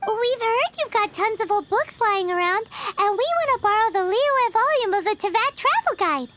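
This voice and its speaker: Squeaky tiny fairy sidekick. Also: female voice, expressive, moderate pace. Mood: perky, curious. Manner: perky, blunt. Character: tiny, childlike, outspoken floating fairy companion. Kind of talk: video game voice acting. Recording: loud background noise and clicks.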